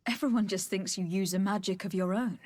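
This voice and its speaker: A woman's voice: mid-range voice, a little fast, a little mellow, no room sound, natural voice. A woman voicing a noble young game heroine, composed and resolute. Her mid-range voice is recorded dry, and she speaks formally with poised, articulate phrasing. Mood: composed, skeptical. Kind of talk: video game voice acting.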